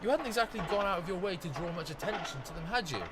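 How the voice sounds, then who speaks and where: A man's voice: high voice, animated, fast talker. A young man playing an exasperated, sarcastic homeowner in a radio comedy, with dry indignation. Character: exasperated, sarcastic Englishman defending his home. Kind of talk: radio comedy play acting. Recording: loud outdoor machinery and vehicle noise.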